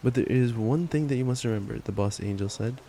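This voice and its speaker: Young guy doing cuddly boyfriend asmr, soft dark tone, casual and playful, bit of rain in the background. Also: mid-range voice, fast. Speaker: ASMR roleplayer playing a boyfriend. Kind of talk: intimate ASMR boyfriend roleplay with bedtime story reading. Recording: steady rain noise. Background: rain sounds.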